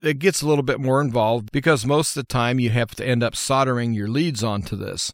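A man's voice: mid-range voice, a little fast, no echo, studio quality. An American man hosting a show, giving informal how-to advice in a plainspoken, folksy, explanatory way. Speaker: radio show host.

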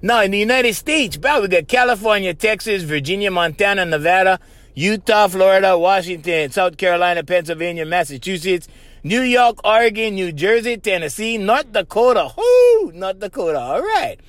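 A man's voice: sits high, lively intonation, moderate pace, fairly bright tone, no room sound. Man doing a brash Hawaiian ex-con podcast host. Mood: upbeat. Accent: Hawaiian Pidgin. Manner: laid-back local slang, constant "bro". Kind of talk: comedic character monologue.

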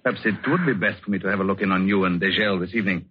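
An actor playing a cold, controlling villain, clipped and commanding, in 1940s radio suspense style. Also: male voice, low voice, rapid-fire, husky. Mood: cold menace. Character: cold, controlling male villain coercing his accomplice. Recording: dull, faintly noisy old radio recording.

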